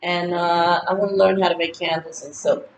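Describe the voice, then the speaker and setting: A woman's voice: fairly low pitch, fairly even intonation, normal speed, slight background noise. A woman in her sixties talking casually to her viewers, rambling through a list of goals with lots of fillers. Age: 60s. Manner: chatty, rambling, lists goals with many fillers. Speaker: vlogger. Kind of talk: casual birthday monologue to camera. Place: home in Ghana. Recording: roomy echo with faint ambience.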